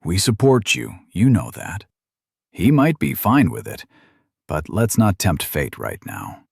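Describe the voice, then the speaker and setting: A man's voice: fairly low pitch, wildly swooping pitch, measured pace, creaky, darkish tone, breathy edge, no echo, clean studio sound, full character voice. A man voicing a level-headed young male adventurer who reasons and placates, in an audiobook. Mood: reassuring. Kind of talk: audiobook character acting.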